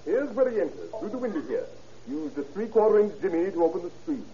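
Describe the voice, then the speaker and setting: An actor playing a self-important amateur sleuth, officious and musing, in old-time radio drama style. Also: male voice, sits high, very animated, fast, dark tone. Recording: muffled vintage radio with faint noise.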